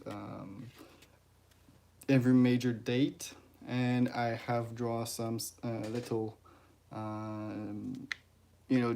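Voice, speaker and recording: Male streamer, French first language, unhurried mid-pitched casual talk, halting with fillers. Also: gravelly, darkish tone, a little hiss or hum. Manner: halting, many "uh"s and restarts, greets chat viewers. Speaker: ant-keeping livestreamer. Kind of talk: casual livestream chat.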